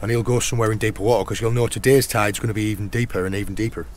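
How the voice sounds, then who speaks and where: A middle-aged man with a hoarse, croaky voice, chatting casually outdoors on a rocky shore as he guides viewers through foraging. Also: fairly low pitch, fairly even intonation, racing, a little hiss or hum. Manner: chatty, points things out as he goes. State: hoarse, croaky sore throat. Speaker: channel host and foraging guide.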